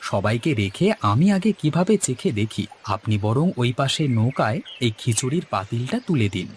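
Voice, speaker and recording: A man's voice: medium pitch, big pitch swings, normal speed, slight background noise, close to an everyday voice. A man voicing a sociable, take-charge young village organiser in a cartoon, polite and instructing. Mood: friendly. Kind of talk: cartoon voice acting.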